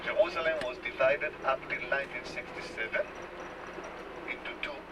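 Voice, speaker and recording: Joking Israeli tour guide on a bus. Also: male voice, mid-range voice, steady tone. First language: Hebrew. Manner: joking, chatty, explains slowly. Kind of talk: tour bus welcome talk. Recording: distant home video, road noise.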